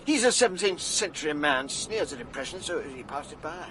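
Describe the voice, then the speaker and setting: Radio drama dealer: excitable, theatrical, self-important, high-pitched, sharp tone. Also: male voice, fairly quick, poor audio. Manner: theatrical, fussy, pleased with himself. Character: excitable, self-satisfied male art dealer. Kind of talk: British radio drama acting.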